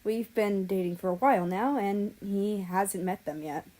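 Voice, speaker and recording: Woman, story reader, mellow soft voice, mid pitch, amateur fiction narration. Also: moderate pace, low-quality recording. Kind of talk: expressive amateur audiobook narration of fan fiction.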